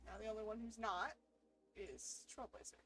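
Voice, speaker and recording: A woman's voice: medium pitch, steady tone, moderate pace, fairly bright tone, a little hiss or hum. A woman giving casual gameplay commentary, informally thinking aloud as she plays. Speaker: gamer playing a role-playing game for viewers.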